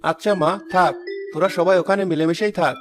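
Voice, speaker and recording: A man plays an indulgent village father in a cartoon fairy tale, reassuring and coaxing. His voice is fairly high and quick, with music behind. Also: lightly acted. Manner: coaxing, protective.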